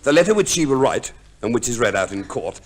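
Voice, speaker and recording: An actor playing a fussy, shrewd divorce lawyer in a radio play, with a pedantic, precise manner and hesitant stammers. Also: male voice, medium pitch, sing-song, brisk, slight background noise, close to an everyday voice. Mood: businesslike, precise. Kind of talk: 1950s radio play acting.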